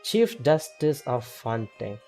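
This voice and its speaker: A laid-back male streamer murmuring casual comments while he plays. His voice is warm and soft-edged, with game music drifting behind him. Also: mid-range voice, very animated, slow.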